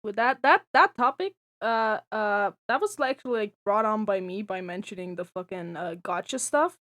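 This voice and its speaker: A woman's voice: medium pitch, expressive, fairly bright tone. A young woman from Estonia, chatting on a voice call with friends, stumbling through fillers and joking. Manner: halting, many fillers and restarts, joking. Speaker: podcast co-host. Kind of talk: casual chat among friends. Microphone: own mic on a voice call.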